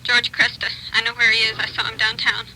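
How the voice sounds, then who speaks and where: An anxious wife calling in a tip, urgent and breathless. Her voice is raised and very bright, and it comes through a thin telephone line with faint noise. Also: a little high, little pitch movement, a little fast.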